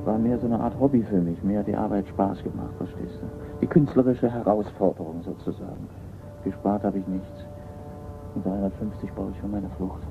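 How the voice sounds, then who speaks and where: An actor playing a resigned art forger on the run in a radio crime drama, terse and subdued. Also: male voice, fairly low pitch, fairly even intonation, fairly quick, creaky. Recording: tinny band-limited radio recording with hiss.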